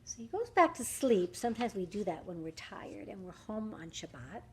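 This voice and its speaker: A woman reading a rhyming picture book aloud to young children, with dramatic emphasis, drawn-out words and questions to her listeners. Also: medium pitch, big pitch swings. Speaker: children's story reader.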